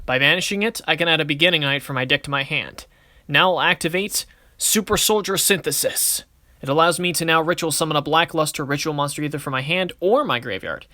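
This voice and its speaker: A man's voice: on the high side, bright, forceful tone, dry recording, clean studio sound. A man playing a cocky card duelist in an anime-style series, speaking in a theatrical, smug, declamatory way. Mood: confident. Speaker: voice actor in a fan dueling series. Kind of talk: anime-style dramatic battle dialogue.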